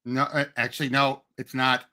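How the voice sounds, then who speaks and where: A man's voice: mid-range voice, little pitch movement, moderate pace, sharp tone. A man co-hosting a podcast, debating in a casual fan chat with an emphatic, insistent way of talking. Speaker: podcast co-host.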